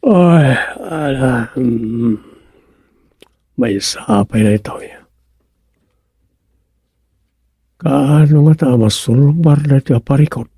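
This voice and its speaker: A man's voice: medium pitch, slow pace, warm tone, breathy edge, dry recording, clean studio sound. A man with an Ilocano accent playing a grumbling villager who frets over his neighbours in a radio comedy drama. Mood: weary.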